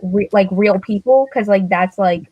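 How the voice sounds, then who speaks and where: A woman's voice: medium pitch, moderate pace, breathy edge, no echo, muffled recording. A young woman, a book vlogger, chatting casually in a rambling, self-deprecating way with many fillers. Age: young adult. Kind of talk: casual chat.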